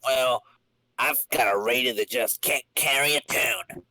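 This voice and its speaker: A man's voice: medium pitch, measured pace, sharp tone. A man voicing a vengeful, bitter comic-book villain in a terse, dramatic reading. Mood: guarded.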